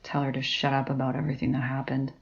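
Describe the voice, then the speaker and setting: A woman's voice: a bass voice, completely flat delivery, fast, creaky, dull audio. A woman playing a blunt, suspicious, sardonic academic in an audio drama, with a dry, sarcastic delivery. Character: sardonic, blunt, suspicious, foul-mouthed female college academic.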